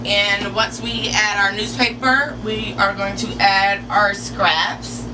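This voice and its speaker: A woman hosting an informal step-by-step how-to demonstration in a deliberate, instructional way, picked up by a camera a few metres away. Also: mid-range voice, fairly even intonation. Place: enclosed porch or sunroom. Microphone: camera mic a few metres away. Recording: distant mic, clearly noisy with background hum.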